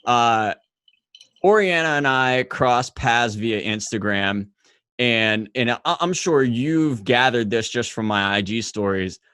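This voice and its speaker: A man's voice: medium pitch, expressive, slow, a bit bright, smooth, dry recording, clean studio sound. Enthusiastic man hosting a podcast. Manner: enthusiastic, loose, fillers and restarts. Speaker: podcast host. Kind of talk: casual interview chat.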